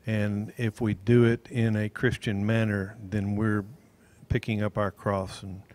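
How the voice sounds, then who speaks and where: A man speaking slowly and reverently on a devotional panel and leading a closing prayer into a handheld mic. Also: fairly low pitch, little pitch movement, darkish tone. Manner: slow, measured, reverent. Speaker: church member.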